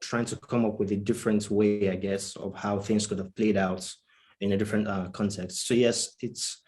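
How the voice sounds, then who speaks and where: A man's voice: fairly low pitch, little pitch movement, normal speed, a little mellow. A man with a Nigerian accent talking conversationally as a panelist, hesitant and often dropping in "you know". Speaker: writer, panelist. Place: online video panel.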